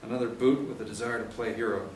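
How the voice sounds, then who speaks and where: A man's voice: lowish voice, steady tone, quick pace, a little mellow. A man reading first-person crime fiction aloud in a hard-boiled, dramatic way with emphatic swings. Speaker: reader of a novel chapter. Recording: roomy, distant mic, faint noise.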